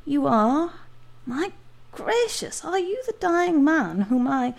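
A woman's voice: sits high, lively intonation, moderate pace, darkish tone, voice pushed up. A woman voicing a cold, frail male doctor who speaks feebly and icily in a read-aloud story. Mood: startled. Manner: feeble and icy.

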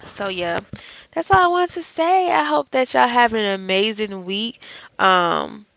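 A woman's voice: fairly high pitch, lively intonation, unhurried, vocal fry, a bit bright, no echo, rough recording. A woman hosting a faith-based podcast, chatting in a casual, encouraging way and calling her listeners y'all. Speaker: podcast host.

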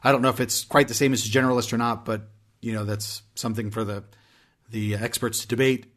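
A man's voice: mid-range voice, fairly even intonation, fast, fairly bright tone. A man hosting a podcast interview, talking in a chatty way with many restarts and hesitations. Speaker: podcast host.

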